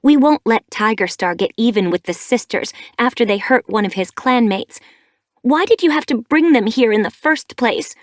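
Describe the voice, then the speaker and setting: A woman's voice: a little high, normal speed, hardly any pauses, no room sound, studio quality, pitched above the performer's own voice, natural voice. A woman reading an audiobook, voicing a young she-cat mother who is grieving and angry, with a raised, accusing voice. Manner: accusing, bristling.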